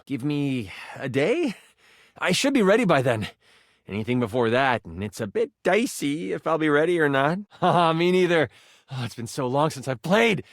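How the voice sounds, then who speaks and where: Animated, high-pitched game master die. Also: male voice, no room sound, studio quality. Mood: eager, earnest. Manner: expressive, playful, shifting into grand storyteller phrasing. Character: excitable, earnest twenty-sided die come to life, eager game master. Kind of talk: theatrical fantasy game narration.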